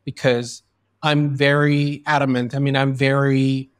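A man's voice: medium pitch, little pitch movement, measured pace, dry recording, clean studio sound. A man hosting a podcast interview, talking earnestly in long, flowing sentences with frequent restarts. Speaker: podcast host. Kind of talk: conversational interview. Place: in Spain.

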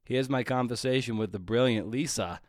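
A man's voice: medium pitch, brisk, dry recording, clean studio sound. A middle-aged man hosting a podcast in a conversational, informal way, with wry, playful asides. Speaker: podcast host. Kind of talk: conversational podcast monologue and interviewing.

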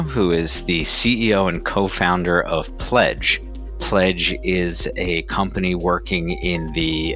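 A man's voice: low voice, bright, forceful tone. A man hosting a podcast, introducing the episode and asking upbeat, conversational questions. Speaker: podcast host.

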